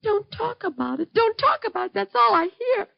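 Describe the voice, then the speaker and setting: An actress playing a frightened, lonely bedridden mother in 1950s radio drama, breathy and fragile, pleading bitterly with agitated repetition. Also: extremely high pitch, very animated, normal speed, full character voice. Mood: haunted, distressed. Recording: dull lo-fi old radio recording.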